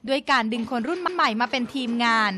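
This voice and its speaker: A woman's voice: sits high, fast, a crowd in the background. A woman narrating a television news feature from a script in a polite, polished presenter manner.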